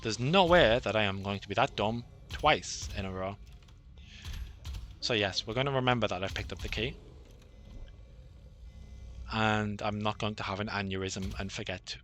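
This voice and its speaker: A man giving rambling, self-deprecating commentary over his own gameplay, with many fillers and a flat, monotonous delivery. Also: lowish voice, moderate pace, long pauses, faint music behind. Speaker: gaming channel host.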